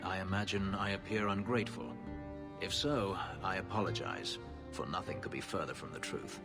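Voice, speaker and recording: A man playing a bitter, guarded escaped elf slave in a video game, speaking formally and gravely in a weighty voice. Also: low-pitched, natural voice. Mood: contrite. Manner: formal, grave, measured speech. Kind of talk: dramatic video game acting. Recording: music playing behind the voice.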